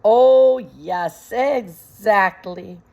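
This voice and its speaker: A woman's voice: medium pitch, very slow, darkish tone. Woman as a sly, courtly rat villain. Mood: mocking glee.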